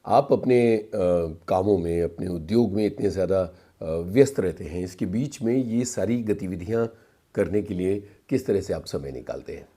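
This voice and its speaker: A man hosts a radio interview in a very low, dark and husky voice. His phrasing is courteous and a little hesitant, in the polite style of a studio interviewer. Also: normal speed.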